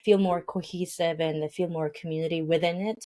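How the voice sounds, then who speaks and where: A woman with a fairly low voice, interviewed as a company founder. She explains her plans earnestly and thinks aloud, often restarting with "uh". Her talk is steady and conversational. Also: brisk. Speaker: founder of a language game company, guest.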